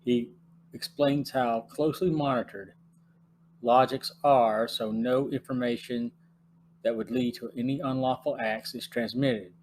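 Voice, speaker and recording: A podcast host reading a measured plot summary from notes. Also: male voice, medium pitch, fairly even intonation, darkish tone, slight background noise, low-quality recording.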